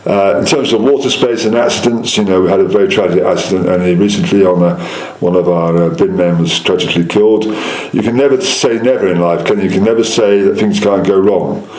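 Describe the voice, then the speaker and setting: A middle-aged man speaks as a local councillor in an interview, in an echoey room. His voice is low, and he talks at a rapid clip. He sounds assured, often restating his points. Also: a little mellow. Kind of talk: political interview answers.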